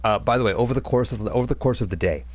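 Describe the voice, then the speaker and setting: A man's voice: mid-range voice, racing. A man running a tabletop role-playing game on a live stream, rambling with frequent restarts as he narrates. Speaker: game master.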